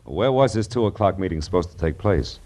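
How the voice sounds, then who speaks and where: An American actor playing a wry, world-weary private detective in 1940s radio style, sardonic and full of dry delivery. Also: male voice, low-pitched, animated, brisk, a little mellow. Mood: sardonic, skeptical. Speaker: actor, lead and narrator. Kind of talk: 1940s radio detective acting, narration and dialogue. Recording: old radio recording with music behind.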